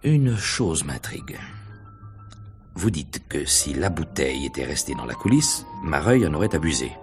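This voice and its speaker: A probing radio-mystery detective with a very low voice. He swings through animated intonation as he slides insinuating questions in, wry and knowing, with music behind him. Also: normal speed, heavily acted. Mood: puzzled, pressing. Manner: insinuating questions, hesitant repetitions, knowing chuckles. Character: probing, wry male police investigator, middle-aged. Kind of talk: 1950s French radio mystery acting.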